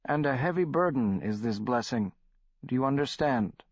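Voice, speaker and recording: Man voicing solemn old shaman, measured, dry dull audiobook recording. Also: medium pitch, expressive, dry recording, pitched above the performer's own voice, natural voice.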